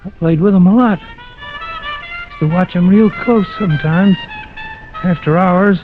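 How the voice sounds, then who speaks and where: A cool, slangy American piano player from a jazz joint. His voice sits quite high and he talks in casual hipster style. It sounds like a tinny vintage radio drama with music in the background. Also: very animated, takes it slowly, full character voice. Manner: slangy, casual beatnik talk. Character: laid-back hipster male jazz pianist. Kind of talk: 1940s-50s radio drama acting. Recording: tinny old-time radio recording, music behind.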